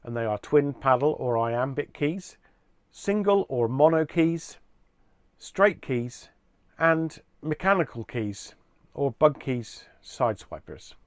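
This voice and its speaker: A man with a medium-pitched voice presents a product range in a measured, explanatory style. He moves through points in an orderly fashion. The sound is dry and close, with very little room in it. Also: lively intonation, dry recording.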